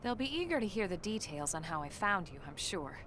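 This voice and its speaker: An actress playing a calm, businesslike military officer with a matter-of-fact manner in a video game. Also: female voice, fairly low pitch, fairly quick, slight background noise. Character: composed, businesslike female military officer, quietly confident. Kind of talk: video game cutscene voice acting.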